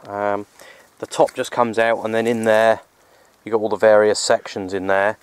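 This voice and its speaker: A man's voice: fairly low pitch, level tone, moderate pace, clear, resonant tone, dry recording. A man in his thirties talking casually and a little hesitantly to a handheld camera outdoors, rambling through explanations as a hobby vlogger. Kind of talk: casual outdoor vlog talk. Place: outdoors in open countryside. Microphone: handheld camera at arm's length.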